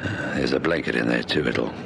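A patient, kind-hearted police officer in an old radio play. His voice is extremely deep, with a plain, reassuring delivery. Clear noise runs through the recording. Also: fairly quick. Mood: friendly. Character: kindly, patient male police warrant officer. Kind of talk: radio drama acting.

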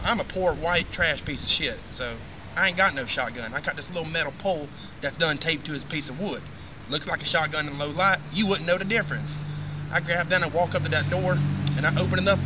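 A man's voice: fairly high pitch, lightly acted. A man playing a crude, drunken redneck storyteller with a brash, profane, drawling Southern US accent in a comic monologue. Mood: rambling, indignant. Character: crude, drunken redneck man spinning rambling foul-mouthed tales. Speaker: storytelling host character. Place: outdoors on a wooden deck in woods. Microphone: camera a few meters away. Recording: distant camera mic with faint outdoor noise.

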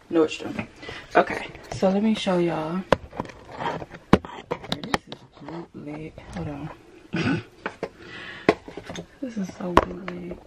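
A woman in her thirties chatting casually to viewers as she shows clothes in her closet, in a rush and calling them y'all. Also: lowish voice. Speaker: vlogger. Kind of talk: casual clothing haul chat. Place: walk-in closet at home. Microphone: camera set up across the closet. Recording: hissy home video.

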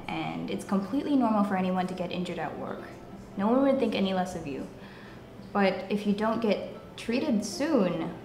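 A woman's voice: medium pitch, lightly acted. A young woman playing a concerned coworker urging a friend in an amateur drama. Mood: earnest, exasperated. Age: teenager or young adult. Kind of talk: amateur scripted drama dialogue. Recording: roomy echo, clear background noise.

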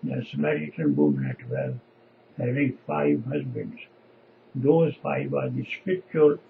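A man in his seventies, a native Punjabi speaker with a Punjabi accent, preaching informally to camera in halting, emphatic short phrases. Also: mid-range voice, creaky, narrow, tinny audio, noisy background. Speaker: lay preacher. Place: small indoor home office.

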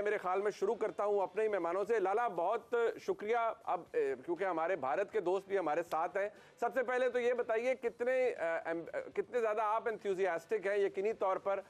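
A man in his thirties hosting a live cricket broadcast from a TV studio, speaking formally with many fillers in his long questions. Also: sits high. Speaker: sports show host.

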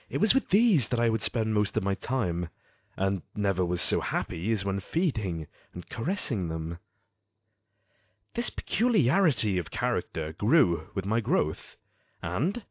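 An actor playing a tormented condemned man confessing in a Gothic audio drama, with theatrical, deliberate, formal delivery. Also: fairly low pitch. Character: tormented condemned man confessing his crimes, intense and melancholy. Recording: dull, roomy amateur recording.

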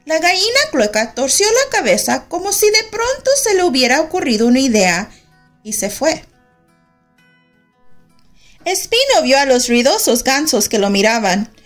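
A woman reads a children's picture book aloud, slow and expressive, her high voice rising and falling with animation. Faint music plays softly behind her. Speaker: storybook reader.

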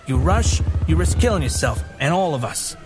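An actor playing a firm, patient police commissioner taking charge in an audio drama. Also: male voice, on the high side, expressive, moderate pace, over music, lightly acted. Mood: commanding.

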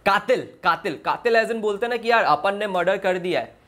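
A man's voice: high-pitched, animated, a little fast, fairly bright tone. A man giving casual reaction commentary and explanations, mixing slangy Hindi with English phrases. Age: 20s. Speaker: music reaction video host. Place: small indoor room.

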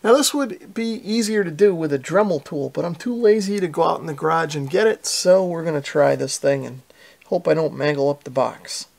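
A man's voice: on the high side, a little fast, creaky, darkish tone. A middle-aged American man hosting a hobby how-to, talking in an easygoing, self-deprecating, casual way. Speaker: host of a radio hobby channel.

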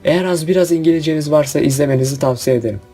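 A man's voice: on the high side, very fast, warm tone. A man narrating a scripted countdown review. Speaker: video narrator. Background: background music.

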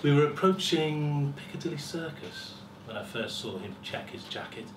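A middle-aged man giving a dramatic, deliberate first-person story reading, with pauses and swelling emphasis. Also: on the high side, lively intonation. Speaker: story reader at a live festival. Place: small lecture room with seated audience. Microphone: far camera. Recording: roomy, distant camera mic, faint hiss.

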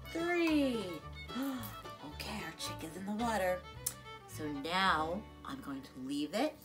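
A middle-aged woman teaching preschoolers, talking gently and reading a picture book in a lively sing-song voice with animal sounds, seated on a living room couch. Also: on the low side. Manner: gentle, warm teacher talk to young children. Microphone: camera in front.

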